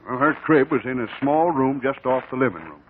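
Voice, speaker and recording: A man's voice: medium pitch, expressive, a little fast, natural voice. Folksy American small-town lawman, male actor, dutiful and plainspoken, 1950s radio style, narrow noisy sound. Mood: cooperative. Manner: folksy rural speech, plainspoken. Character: folksy, dutiful male country sheriff, reluctant but firm. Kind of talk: 1950s radio drama acting. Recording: noisy, narrow-band old radio recording.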